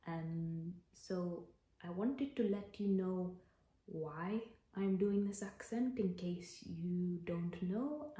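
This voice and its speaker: Brief: woman, general Indian accent, soft half-whispered ASMR delivery, low warm voice, roomy echo. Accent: general Indian, put on for the video. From Britain. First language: Punjabi. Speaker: actor and ASMR creator. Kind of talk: soft-spoken ASMR talk.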